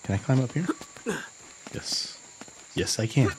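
A man from the USA giving relaxed, chatty commentary while streaming a game and talking to his chat from home. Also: medium pitch, a little slow, a little hiss or hum, poor audio. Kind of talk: casual gameplay commentary.

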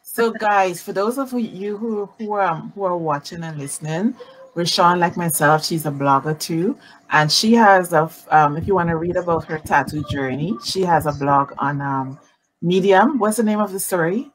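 A woman in her forties hosting a live-streamed interview from home over a video call, animated and greeting viewers by name. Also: lowish voice, normal speed, poor audio. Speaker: talk show host. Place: home room, indoors. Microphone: video call webcam.

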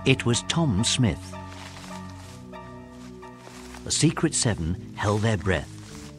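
Man narrating, lively pitch. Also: extremely slow, over music. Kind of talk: scripted children's story narration.

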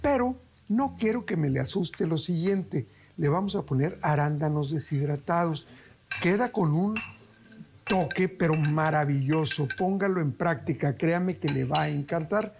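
A man's voice: a little high, lively intonation, normal speed, gravelly, a little mellow, a little hiss or hum. A middle-aged man, hosting a TV cooking show in a folksy way and talking to viewers as family. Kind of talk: TV cooking demonstration. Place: TV kitchen studio set.

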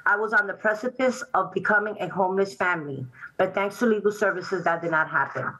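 A woman's voice: medium pitch, brisk, pushed and bright, low-quality recording. A woman in her forties, from Puerto Rico and raised in New York City, reading a prepared statement earnestly as a client testifying at a public hearing over a video call. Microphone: video call webcam.

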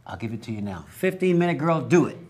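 A man's voice: mid-range voice, big pitch swings, fast talker, a little mellow. A middle-aged man hosting a live local TV talk show from a studio desk, talking in an upbeat, folksy way with fillers. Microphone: lapel mic.

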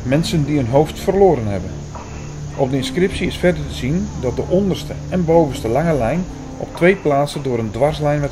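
A man plays an attentive, sceptical storyteller in an audio drama. He narrates and reads aloud in a measured, bookish way, at a middling pitch. Music plays clearly behind him. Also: close to an everyday voice. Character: Hugo, attentive, sceptical male listener and storyteller. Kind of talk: scripted first-person narration and reading aloud. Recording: music under the voice.

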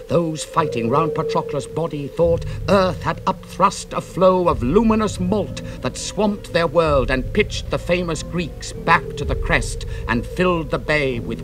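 A man giving a dramatic audiobook reading of epic poetry, theatrical, moving between hushed lines and ringing ones. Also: on the high side. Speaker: audiobook reader.

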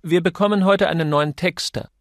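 A man voicing a brisk, formal office manager in an audiobook, polite and instructing. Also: fairly high pitch, steady tone, no echo, studio quality, pitched above the performer's own voice, close to an everyday voice. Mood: businesslike.

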